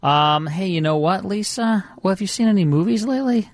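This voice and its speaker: A man's voice: high-pitched, lively intonation, a little fast, no echo. An American man hosting a radio show, chatting casually and reacting readily to his co-host. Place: radio studio.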